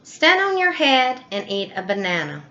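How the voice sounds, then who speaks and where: Storybook chimp, played by a woman: raised pitch, bright, confident, eager to help. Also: big pitch swings, moderate pace, highs cut off, heavily acted. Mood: helpful. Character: confident helpful chimp offering a silly cure.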